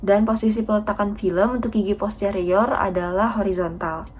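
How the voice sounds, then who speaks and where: A woman's voice: medium pitch, steady tone, normal speed, a little hiss or hum. A young woman giving a polite, methodical, formal step-by-step demonstration as a student. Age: young adult. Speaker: dental student demonstrating a radiography procedure. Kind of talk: instructional skills demonstration, step-by-step explanation.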